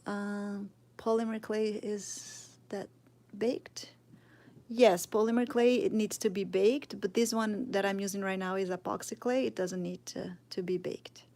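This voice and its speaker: Brazilian woman doing a live sculpting class, slow easygoing talk, trails off into murmurs. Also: medium pitch, steady tone, mellow. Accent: Brazilian Portuguese. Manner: slow, thinking aloud, drops to murmurs while working. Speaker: sculpting teacher streaming live. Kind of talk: casual live tutorial, answering chat.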